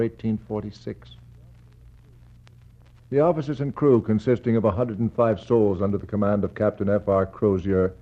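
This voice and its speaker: A man acting a brooding explorer in a 1960s radio play, speaking a poetic monologue in a measured, incantatory way with grim sympathy and weighty pauses. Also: lowish voice, natural voice. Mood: grave, reading. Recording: dull 1960s radio recording.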